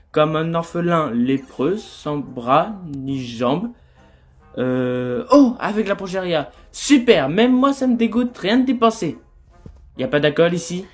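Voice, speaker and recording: Woman voicing a low-pitched, cocky, crude demon flirt. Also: a little mellow, slightly rough, exaggerated voice. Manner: sarcastic, teasing, vulgar slang. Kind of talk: amateur cartoon fandub acting in French. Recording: music behind the voice.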